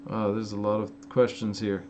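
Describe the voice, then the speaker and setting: Rambling man with an Armenian-influenced accent, mellow voice. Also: lowish voice, monotone, normal speed. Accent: Armenian-influenced American. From Los Angeles. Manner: loose, rambling, many fillers and restarts, laughs easily. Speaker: fitness YouTuber answering live chat. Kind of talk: casual live-stream Q&A. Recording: slightly dull, lo-fi stream audio with faint noise.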